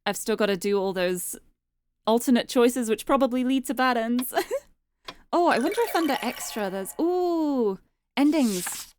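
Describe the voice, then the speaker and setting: A young woman streamer chatting casually and cheerfully, her voice getting a little hoarse and tired. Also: a little high, animated, a little slow, a little mellow. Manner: bubbly, chatty, restarts words often. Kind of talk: casual live-stream chat. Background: background game music.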